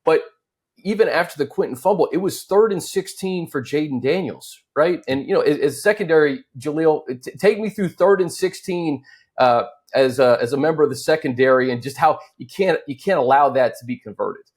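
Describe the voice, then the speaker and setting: A man's voice: fairly high pitch, brisk, husky. A man hosting a casual sports discussion, conversational as he prompts his colleagues. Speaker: sports show host.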